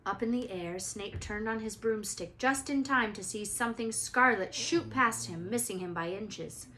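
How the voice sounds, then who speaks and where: A woman teacher reading a children's novel aloud in an expressive storytelling style. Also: mid-range voice, normal speed, slight background noise, poor audio.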